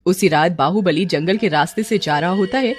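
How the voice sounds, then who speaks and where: A woman's voice: lowish voice, moderate pace, slight background noise. A woman narrating a dramatic scripted story. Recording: music under the voice. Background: background music.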